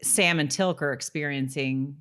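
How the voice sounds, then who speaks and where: A woman co-hosting a podcast, chatting casually about a TV episode in an animated, jokey way. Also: deep voice, normal speed, clear, resonant tone. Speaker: podcast co-host. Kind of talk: casual TV episode recap chat.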